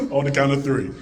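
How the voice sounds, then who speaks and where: A man with a deep voice speaks to a live conference audience. His motivational talk is animated and swings from loud calls to the crowd to hushed, low tones. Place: conference hall.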